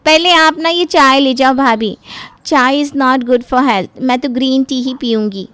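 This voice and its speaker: Woman playing a high-voiced headstrong young bride-to-be. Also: takes it slowly, a bit bright, dry recording. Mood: coy, earnest. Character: headstrong young bride-to-be, chatty and devoted to her fiancé. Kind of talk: cartoon voice acting.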